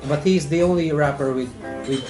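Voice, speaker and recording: A man from the Philippines hosting a casual chat, speaking haltingly and often repeating his words. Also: on the high side. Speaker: host. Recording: echoey room with loud music.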